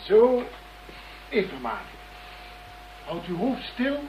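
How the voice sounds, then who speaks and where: An actress playing a cold, gloating villain in a radio drama, formal, mocking and politely menacing. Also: female voice, mid-range voice, sing-song, very slow, background noise.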